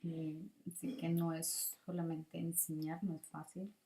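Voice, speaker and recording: A middle-aged woman gives a student presentation in a hesitant, halting way with pauses. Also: low voice, little pitch movement, gravelly, warm tone. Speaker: student teacher giving a presentation. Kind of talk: prepared spoken presentation, partly improvised.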